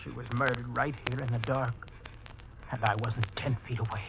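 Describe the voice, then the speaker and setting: A man's voice: medium pitch, flat delivery, moderate pace, gravelly, lightly acted. Man as earnest, agitated radio murder suspect. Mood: anxious. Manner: urgent, protesting. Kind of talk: 1940s radio drama acting. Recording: muffled, hissy 1940s radio recording.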